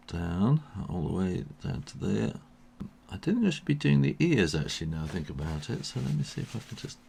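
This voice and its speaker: A man with a tired, croaky voice, thinking aloud with soft chuckles as he teaches drawing and painting. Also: sits low, slight background noise. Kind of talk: casual drawing tutorial narration.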